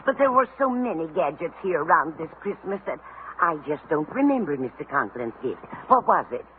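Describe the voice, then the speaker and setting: A woman's voice: low-pitched, big pitch swings, moderate pace, phone-quality sound. A woman playing a scatterbrained, kindly older landlady in a 1950s radio comedy. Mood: befuddled. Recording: thin, scratchy old radio recording.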